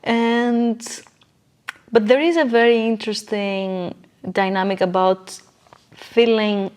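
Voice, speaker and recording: A woman's voice: medium pitch, steady tone, slow, darkish tone, smooth. A woman speaking as a podcast guest in a reflective conversation, explaining her views earnestly. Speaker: podcast guest, business coach.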